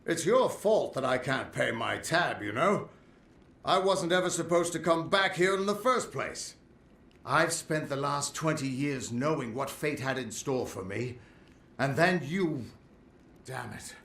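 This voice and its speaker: A man's voice: mid-range voice, animated, unhurried, creaky, a bit bright. An actor playing a rueful, rambling middle-aged fortune-teller and former warrior who likes his drink, in a fantasy game. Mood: rueful, bitter. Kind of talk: fantasy video game dialogue. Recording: roomy echo.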